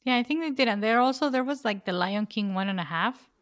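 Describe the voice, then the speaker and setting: A woman's voice: fairly high pitch, animated, quick pace, dry recording, studio quality. A woman co-hosting a casual podcast, chatty and laughing often. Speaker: podcast co-host.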